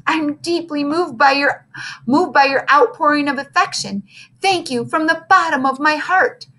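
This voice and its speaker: A woman voicing a kindly, composed male schoolteacher in a storybook, earnest and measured as he explains. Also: high-pitched, sharp tone, pitched above the performer's own voice. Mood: moved.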